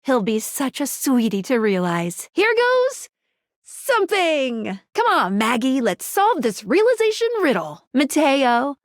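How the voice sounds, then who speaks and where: A woman's voice: high-pitched, wildly swooping pitch, a little slow, dry recording, clean studio sound, full character voice. A woman playing a perky, upbeat young female guide in a dating video game, with a playful, quirky and earnest manner. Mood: excited, bubbly. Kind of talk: video game character voice acting.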